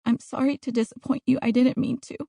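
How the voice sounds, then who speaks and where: A frightened, apologetic young girl, acted out by a woman narrator in an audiobook. Her voice sits high and soft-edged, and she rambles like a child anxious to explain herself. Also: little pitch movement, a little fast, gravelly, breathy edge, dry recording, clean studio sound, exaggerated voice.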